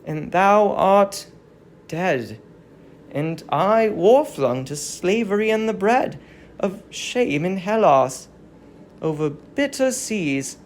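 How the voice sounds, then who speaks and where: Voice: medium pitch, lively intonation, a little hiss or hum. A performer playing a grieving captive young noblewoman and mother in a declamatory dramatic reading of Greek tragedy. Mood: sorrowful, bitter. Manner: slow, declamatory, mournful.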